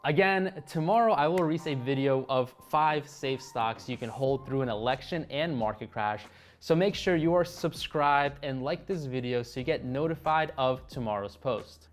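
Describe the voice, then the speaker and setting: A young man whose first language is Russian, hosting an investing video with upbeat talk and joking asides to viewers. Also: medium pitch. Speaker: investing channel host.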